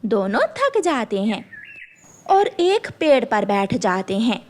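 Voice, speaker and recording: A woman's voice: on the high side, sing-song, takes it slowly, a little hiss or hum. A woman narrating a scripted children's cartoon story.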